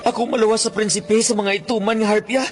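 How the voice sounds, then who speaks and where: A man's voice: high-pitched, flat delivery, fast talker, close to an everyday voice. A man with a Western Visayan Filipino accent playing a frightened, stammering, wailing captive in a radio drama. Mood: frightened, confused. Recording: loud dramatic music behind.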